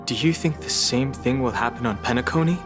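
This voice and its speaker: A man's voice: mid-range voice, a little fast, close to an everyday voice. Guy playing reserved serious young hero, level-headed, mid voice, game music in back. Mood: calm, serious. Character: calm, reserved, analytical young man, loyal to companions. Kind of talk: video game voice acting. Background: game soundtrack music.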